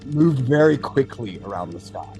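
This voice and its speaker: An actor playing an experienced, thoughtful male alien data center technician in an audio drama, explaining things in a measured way. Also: medium pitch, lively intonation, gravelly, dark tone, faint music behind, poor audio. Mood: matter-of-fact, explaining.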